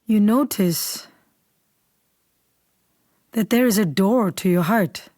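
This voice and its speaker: A woman leading a guided meditation in a calm, unhurried, soothing way, softly and at times almost whispering. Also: medium pitch, no echo, clean studio sound. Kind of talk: guided meditation, slow scripted instruction.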